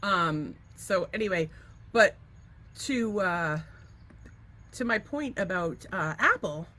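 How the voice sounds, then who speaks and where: A woman co-hosting a podcast in casual chat, an animated storyteller who laughs often and swears freely. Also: fairly low pitch, slow. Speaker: podcast co-host.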